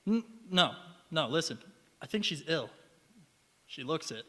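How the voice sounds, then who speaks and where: A man's voice: a little high, very animated, a little slow, a bit bright, husky, exaggerated voice. A man acting an earnest, flustered young student in a stage radio play, polite and a little stammering. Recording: echoey hall with long reverb.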